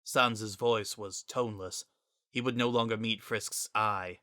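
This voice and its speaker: A man's voice: fairly low pitch, steady tone, a little slow, pushed and bright, no echo, studio quality. A man narrating a scripted dramatic story as its narrator.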